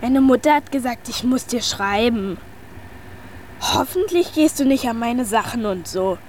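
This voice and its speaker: Slow, sullen, bossy schoolgirl. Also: a little high, expressive, darkish tone, a little hiss or hum. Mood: sullen, snotty. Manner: sullen, grudging letter reading. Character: grumpy, bossy schoolgirl cousin. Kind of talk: children's radio play acting.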